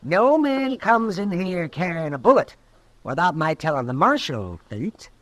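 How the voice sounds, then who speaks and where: An actor playing a plainspoken, wry frontier town doctor with an American accent in an old-time radio western. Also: male voice, high voice, very animated, measured pace, fairly bright tone, no echo, exaggerated voice. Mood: matter-of-fact.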